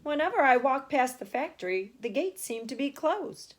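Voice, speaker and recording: Curious small boy, played by a woman reader, eager and earnest, very animated pitch, storybook questions. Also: normal speed, heavily acted. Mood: eager, pleading. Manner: earnest questioning, breaking into exclamations. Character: wide-eyed, eager, curious little boy.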